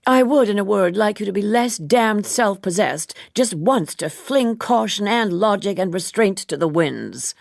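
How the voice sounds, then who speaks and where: A woman's voice: medium pitch, expressive, unhurried, gravelly, few pauses, no echo, studio quality, pitched above the performer's own voice. A woman voicing an imperious, passionate, wealthy society woman in an audiobook, emphatic and haughty in manner. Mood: impassioned. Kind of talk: audiobook character dialogue.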